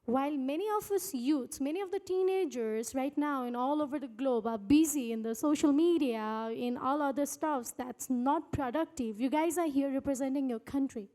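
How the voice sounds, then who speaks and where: A woman's voice: sits high, moderate pace, few pauses, mellow. A woman moderating a live panel, addressing the speakers and putting questions to them.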